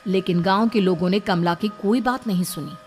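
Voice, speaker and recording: A woman's voice: medium pitch, a little fast, darkish tone, faint music behind. A woman narrating a scripted horror story in a dramatic, suspenseful way.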